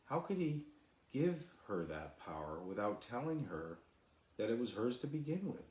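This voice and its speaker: A man's voice: medium pitch, very animated, normal speed, a little mellow. A man narrating a children's story as an author reading his own book, recorded in an echoey room.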